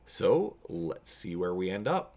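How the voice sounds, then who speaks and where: A man's voice: low voice, sing-song, slow pace, a little mellow. A man hosting a tabletop gaming channel, chatting to viewers and reading an adventure story aloud as narrator. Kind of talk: casual video intro and dramatic reading of story narration.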